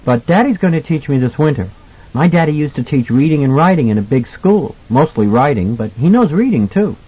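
A man's voice: fairly high pitch, animated, a little fast, breathy edge, muffled recording, voice pushed up. A man voicing an earnest, puzzled little boy who speaks politely and innocently in an audiobook. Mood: shy, earnest. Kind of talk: audiobook character acting.

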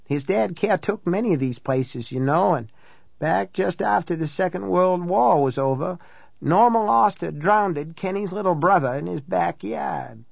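Man voicing a higher-pitched blunt country housekeeper woman. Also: animated, measured pace, creaky, no room sound, exaggerated voice. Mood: gossipy relish. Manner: folksy, matter-of-fact storytelling. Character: older small-town Maine housekeeper woman, blunt, gossipy, matter-of-fact. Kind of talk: audiobook character dialogue.

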